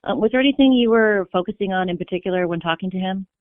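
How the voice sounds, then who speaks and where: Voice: mid-range voice, quick pace, no room sound. Dry-sounding reporter on a narrow phone line. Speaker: sports reporter. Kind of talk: press call questioning.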